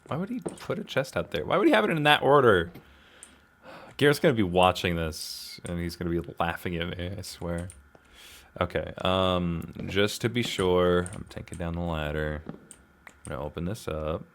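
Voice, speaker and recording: A man talks his way through a game. His delivery is casual and slangy, with self-deprecating asides and exclamations, as if thinking out loud for his viewers. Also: deep voice, lively intonation, moderate pace. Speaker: gaming video host. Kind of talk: casual gameplay commentary, talking to himself.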